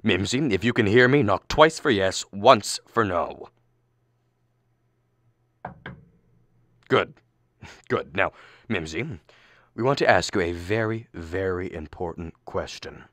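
A man playing an exasperated, blustering middle-aged father and husband in a radio comedy play, halting and strained. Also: low-pitched, expressive, a bit bright, no echo, clean studio sound. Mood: strained patience. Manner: halting, throat-clearing, erupting when frustrated. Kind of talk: stage comedy acting for radio.

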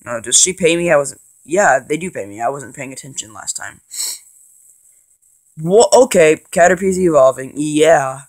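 A man with a cold and a congested voice, rambling casually with lots of ums and excited bursts as he plays and narrates a handheld game. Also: a little high, normal speed. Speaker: gaming channel host. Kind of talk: casual let's play commentary.

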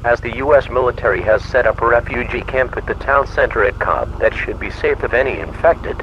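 A man's voice: medium pitch, a little fast, close to an everyday voice. A man playing an impersonal government emergency alert announcer, reading a scripted broadcast in a formal way. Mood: formal, grave. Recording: muffled lo-fi, loud background noise.

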